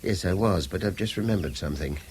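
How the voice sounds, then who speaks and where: A man's voice: sits low, fast talker, a little mellow, a little hiss or hum, natural voice. An actor playing a witty, beleaguered, educated Englishman in a 1970s radio drama, wordy and articulate with a dry manner. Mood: concerned. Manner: wry, wordy, theatrically articulate.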